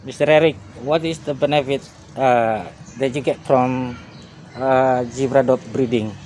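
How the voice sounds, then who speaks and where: A man working as interpreter, asking quick questions in English with fillers. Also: medium pitch, fairly even intonation, a little mellow, slight background noise.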